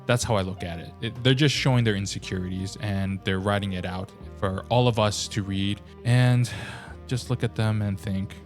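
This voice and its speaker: A man's voice: fairly low pitch, normal speed, soft background music. A young adult man raised in the United States who speaks with an American accent, giving blunt, exasperated reaction commentary and swearing freely. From Korea, raised in the United States. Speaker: reaction video host.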